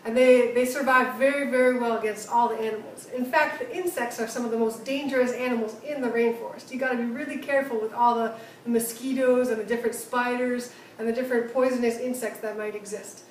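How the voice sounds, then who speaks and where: A woman's voice: a little high, fairly even intonation, fast, poor audio. A woman working on rainforest protection, earnestly explaining things in simple words to young schoolchildren, often repeating words as she thinks aloud. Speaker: rainforest conservationist answering pupils' questions.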